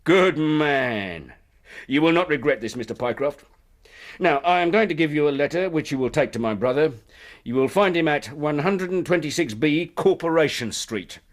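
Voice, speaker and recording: Guy playing a flattering business recruiter in a radio play, kinda high voice, pushy and patronising. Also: normal speed, lightly acted. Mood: brisk, reassuring. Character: genial, smooth-talking, persuasive male company recruiter. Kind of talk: radio drama acting.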